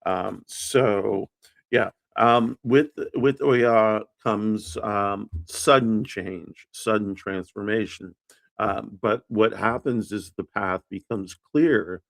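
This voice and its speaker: A man with a very deep voice talks slowly through an early-morning livestream. He hesitates often, fills gaps with ums and uhs and restarts his words. The sound is close and dry, with no room around it. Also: fairly even intonation, vocal fry, no echo. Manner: halting, many fillers and restarts; unsure with names and pronunciations. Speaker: livestream host. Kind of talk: casual livestream chat.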